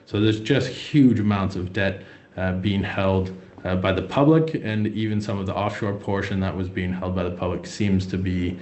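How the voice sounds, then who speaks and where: A man's voice: deep voice, a little mellow, roomy sound, slight background noise. A young American man presenting a finance webinar, hesitant with many fillers and restarts. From United States. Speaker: wealth manager presenting a webinar.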